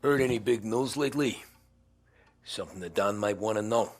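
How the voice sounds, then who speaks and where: An actor playing a terse gangster who questions people in a curt, probing way in a crime game. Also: male voice, fairly low pitch, moderate pace, lightly acted. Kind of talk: cinematic crime game acting.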